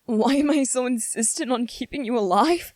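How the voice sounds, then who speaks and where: A woman playing a gentle, anxious young prince in an ASMR roleplay, speaking in a hesitant, earnest and pleading manner. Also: fairly high pitch, normal speed, dry recording, studio quality, close to an everyday voice. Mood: tender, devoted. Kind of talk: ASMR roleplay, scripted character acting.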